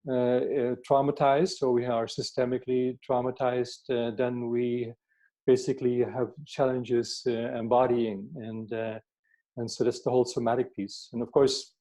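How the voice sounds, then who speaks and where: An older man from the Netherlands with a Dutch accent, explaining his work reflectively and haltingly, often repeating words. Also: medium pitch, level tone, dark tone. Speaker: workshop facilitator, therapist being interviewed. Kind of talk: reflective interview talk.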